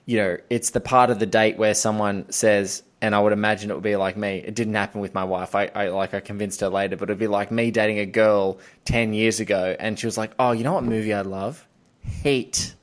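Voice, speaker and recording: Enthusiastic man, lowish voice. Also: steady tone, fairly quick. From Australia. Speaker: podcast host. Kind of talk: casual film discussion.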